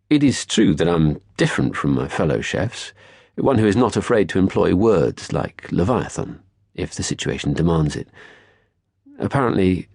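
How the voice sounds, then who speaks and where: A man reading scripted first-person literary fiction as an audiobook narrator, measured, articulate and wry. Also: low-pitched, a little mellow, breathy edge, dry recording, studio quality.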